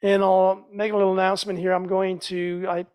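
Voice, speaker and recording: A man's voice: high voice, completely flat delivery, fairly quick, a little mellow, smooth, no echo, studio quality. An older man giving a casual announcement about his nutrition classes in a home kitchen, speaking loosely with fillers. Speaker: nutrition educator.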